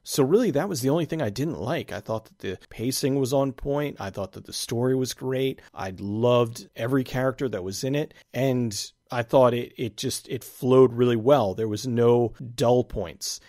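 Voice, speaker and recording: A man giving a casual, enthusiastic movie review, his voice raspy and nearly gone. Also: medium pitch, moderate pace, few pauses, dark tone, no echo, clean studio sound. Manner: enthusiastic, conversational, casual swearing.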